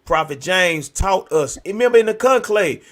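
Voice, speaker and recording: An American man hosting a talk show, speaking in an animated, preacher-like conversational way, emphatic and often repeating phrases for effect. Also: high voice, moderate pace, slight background noise. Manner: emphatic, repetitive. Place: virtual video show.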